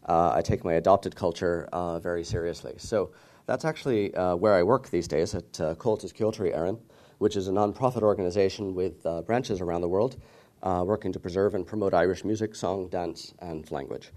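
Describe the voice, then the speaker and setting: Low, mellow-voiced man giving a talk. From United States. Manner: measured, with frequent "uh" fillers. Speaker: conference speaker. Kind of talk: conference presentation.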